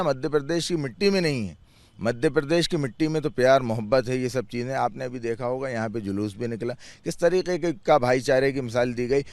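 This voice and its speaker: A man's voice: medium pitch, a little mellow, dry recording. Earnest man answering in a dry interview. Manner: earnest, repeats phrases, restarts words, pleading tone. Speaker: chairman of a clerics' board, interviewee.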